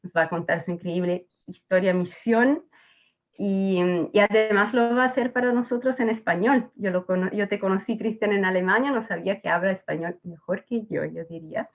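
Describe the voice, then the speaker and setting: A woman's voice: mid-range voice, fairly quick. Woman presenter on tinny call audio. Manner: earnest, with frequent fillers and restarts. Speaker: webinar host from a responsible-ownership organization. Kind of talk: presentation to an online audience.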